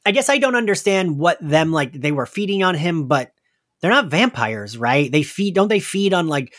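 A man's voice: fairly high pitch, big pitch swings, normal speed, a bit bright. A man hosting a casual recap discussion, conversational and often questioning. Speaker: podcast host.

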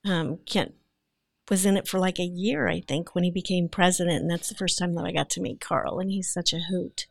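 Female actress guest, creaky voice in a dry close sound, chatting casually in interview. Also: lowish voice, fairly even intonation, brisk, no room sound.

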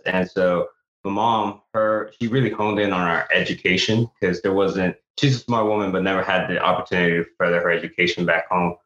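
A man's voice: sits low, a bit bright. A man from El Salvador, a guest actor and author, telling his story earnestly in an interview, with frequent restarts. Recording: echoey, slightly dull remote audio.

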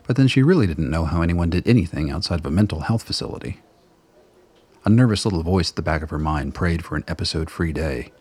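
Low, husky male radio play narrator. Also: animated, quick pace, a little mellow.

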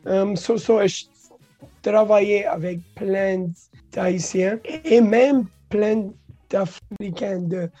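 Slow, halting woman. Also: medium pitch, steady tone, dark tone, soft background music. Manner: halting, restarts words, mixes English into French, laughs often. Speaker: guest. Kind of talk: casual interview talk.

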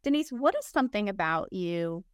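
Smooth-voiced woman podcast host. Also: medium pitch, very animated, normal speed, dry recording, studio quality. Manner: warm, appreciative, with filler words and restarts. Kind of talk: casual interview chat.

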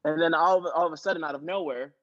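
A woman's voice: low voice, fast. Woman playing a crude former prostitute, dull-sounding recording. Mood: matter-of-fact. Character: crazy, casually crude former prostitute in an asylum. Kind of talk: improvised comedy scene.

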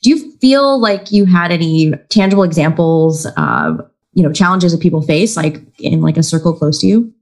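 A woman from Texas hosting a podcast interview, asking questions in a friendly, measured way. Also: lowish voice, big pitch swings, darkish tone. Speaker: podcast host.

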